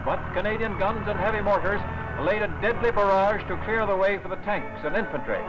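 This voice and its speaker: A man's voice: high-pitched, a little fast, highs cut off. A man narrating a scripted wartime newsreel from the 1940s in a formal, declamatory manner, on an old soundtrack. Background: loud music.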